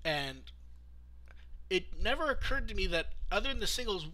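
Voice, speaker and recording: A man's voice: high-pitched, big pitch swings, moderate pace, pushed and bright, rough recording. A man hosting a podcast, casually talking through news and thinking aloud as he goes. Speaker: podcast host. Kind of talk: casual news discussion among podcast co-hosts.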